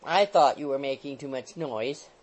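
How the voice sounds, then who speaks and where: A woman voicing a wretched, anxious young gentleman who speaks meekly, politely and apologetically in a storybook. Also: extremely low pitch, normal speed, pitched below the performer's own voice. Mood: anxious, placating. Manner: meek, pleading, polite.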